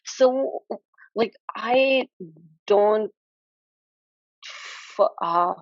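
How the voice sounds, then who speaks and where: A woman's voice: medium pitch, no echo. A young woman, a student asking a question in a group discussion, who stutters with blocks and repeated sounds and speaks candidly. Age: young adult. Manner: halting, candid. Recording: dull lo-fi online call audio.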